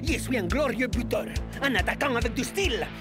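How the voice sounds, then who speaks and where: A man's voice: very high-pitched, expressive, a little fast. An actor playing a vain, melodramatic young male football star in a French cartoon dub, theatrical and boastful. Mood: indignant, boastful. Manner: theatrical, self-pitying, boastful. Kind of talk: French animated series dubbing. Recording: loud music behind.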